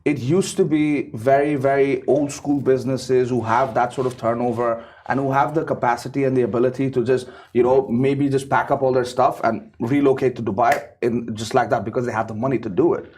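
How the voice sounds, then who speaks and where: A man's voice: mid-range voice, steady tone, brisk, hardly any pauses, a little mellow, a little hiss or hum. A man speaking as a guest in a casual panel discussion, often repeating words and ending points with "right?". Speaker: business setup guest.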